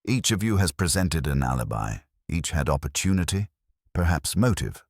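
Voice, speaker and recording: A man voicing a fussy, meticulous older Belgian detective, speaking in a measured, precise and formal way. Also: rumbling low, big pitch swings, dry recording, clean studio sound, heavily acted.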